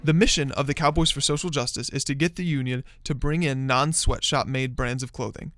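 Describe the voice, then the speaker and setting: A man narrates a scripted news report in a brisk, even delivery. His pitch sits in the middle range. Faint music runs under the voice-over. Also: no echo.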